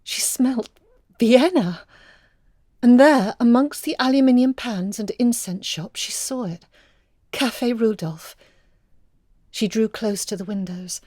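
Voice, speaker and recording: A woman narrating a literary short story expressively, in measured, evocative phrases with hushed moments. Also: on the high side, no echo, clean studio sound. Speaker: story reader.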